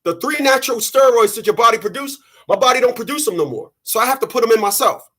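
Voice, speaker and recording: A man in his thirties, sweaty after a run, giving an animated, preachy monologue to his channel's viewers. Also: very high voice, quick pace. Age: 30s. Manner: animated, preachy, mocking quoted voices. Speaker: channel host. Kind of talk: casual monologue to camera.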